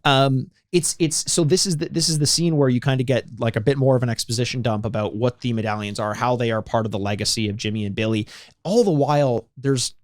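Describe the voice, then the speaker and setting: A man's voice: mid-range voice, fast talker. Man riffing casually as a podcast co-host. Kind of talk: casual comedic movie recap chat.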